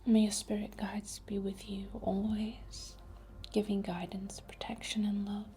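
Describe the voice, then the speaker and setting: A woman whispering softly and soothingly in an unhurried ASMR guided meditation, leading a healing session. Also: medium pitch, flat delivery, a little hiss or hum.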